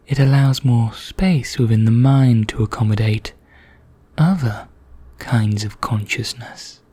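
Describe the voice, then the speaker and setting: A man playing an ancient, haughty vampire knight in an ASMR roleplay, speaking softly and half in whispers, formal and measured with deliberate pauses. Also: lowish voice. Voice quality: soft, breathy, half-whispered, husky. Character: ancient male vampire knight, haughty, languid, darkly courteous.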